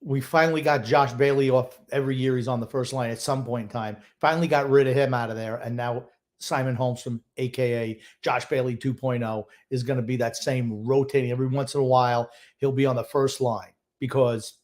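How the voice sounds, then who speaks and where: A man's voice: mid-range voice, steady tone, brisk. An older man co-hosting a sports podcast, giving opinionated, sardonic takes in a casual, grumbling way.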